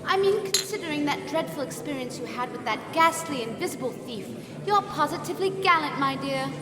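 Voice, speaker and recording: A woman's voice: high voice, lively intonation, a little fast, crowd noise. A woman playing a gushing, affected society lady in an old-time radio drama. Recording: echoey church hall, music behind.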